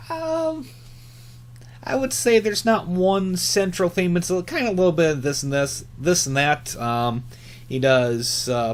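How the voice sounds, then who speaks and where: A man chatting casually and unscripted as a hobby YouTuber, laid-back and rambling, with halting, filler-heavy phrasing. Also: a little high. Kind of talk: casual unscripted chat, reviewing channels.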